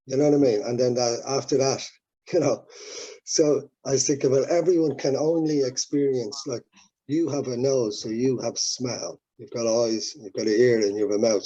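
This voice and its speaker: An Irish man, first language Irish, talks in a loose, reflective way, circling back and restarting his thoughts. His voice is husky and mellow. The sound is dull and lo-fi, like a video call. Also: mid-range voice. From Ireland. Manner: rambling, reflective, many restarts. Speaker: musician guest. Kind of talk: casual interview talk.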